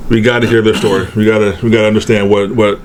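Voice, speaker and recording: A man's voice: fairly low pitch, fast. A man bantering casually as a podcast co-host. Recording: clear steady background noise.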